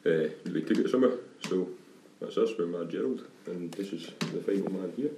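A man who sings and writes songs in a band, telling its story at a steady pace in an interview. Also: low voice, normal speed, a little hiss or hum. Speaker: singer and songwriter. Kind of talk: casual interview chat. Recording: echoey room, away from the mic.

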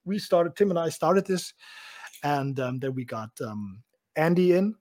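A German man co-hosting a live video podcast, talking in a relaxed, rambling way with many restarts and laughs. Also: on the high side, unhurried. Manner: repeats words and restarts, laughs often. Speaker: podcast co-host. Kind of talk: casual chat.

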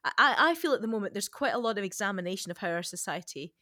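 A woman's voice: medium pitch, lively intonation, rapid-fire, pushed and bright, no room sound, clean studio sound. A woman hosting a conversational discussion in a thoughtful, tentative manner that seeks agreement. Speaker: podcast host.

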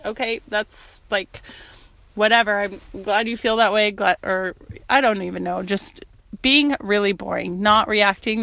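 A woman hosting a solo podcast, talking in an earnest, reflective way while sick and losing her voice, often pausing with "um" and "you know.". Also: mid-range voice, vocal fry, fairly bright tone, no room sound. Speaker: podcast host. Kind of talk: casual solo podcast talk.

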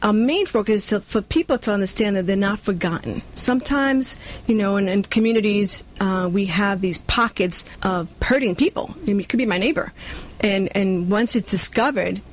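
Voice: medium pitch, moderate pace. A person with a New York accent and Spanish as a first language, earnestly answering interview questions as a community organizer and acting out quotes along the way. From born in Cuba, grew up in Brooklyn, New York. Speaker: community nonprofit leader being interviewed.

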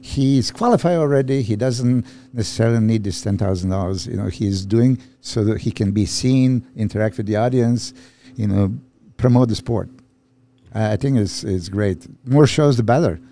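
A man in his fifties from Serbia talks casually as a podcast guest. His voice is low, dark and mellow, and he laughs easily as he tells stories. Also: normal speed. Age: 50s. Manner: laughs often, relaxed storytelling. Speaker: guest, bodybuilding coach.

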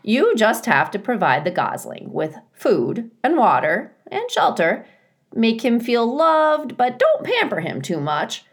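A high, squawking storybook goose, acted out by a woman reader, bossy and blunt. She fusses in motherly fashion, swooping emphatically through her opinions. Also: wildly swooping pitch, a little slow. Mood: breezy, instructive. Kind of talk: children's storybook character reading.

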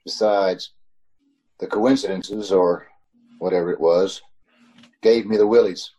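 Radio thriller lead, male actor: uneasy, haunted motorist, lowish mellow voice, dramatic storytelling. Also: fairly even intonation, slightly rough, poor audio. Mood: uneasy, reflective. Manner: measured narrating, nervous stammering in dialogue. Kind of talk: old-time radio drama narration and acting. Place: home, recorded over a video call.

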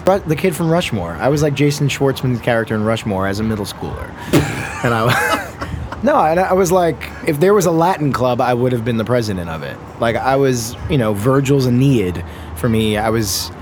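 A man in his thirties, a musician, telling stories in a rambling, animated way in casual conversation, laughing often. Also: medium pitch, noisy background. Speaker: musician and podcast guest.

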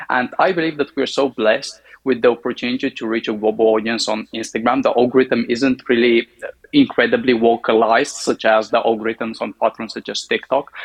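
A man with a Bulgarian accent and a gravelly, creaky voice at middle pitch. Bulgarian is his first language, and he speaks English in a confident, measured way. He explains things clearly as a podcast guest. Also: poor audio. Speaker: podcast guest, marketing agency owner. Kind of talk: interview answers.

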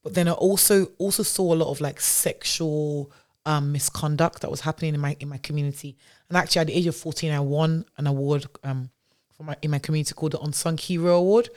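A woman's voice: sits low, steady tone, quick pace, a little mellow. A woman who grew up in Nigeria, a tech founder talking as a podcast guest in a chatty way, with many fillers and restarts. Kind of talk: casual interview storytelling.

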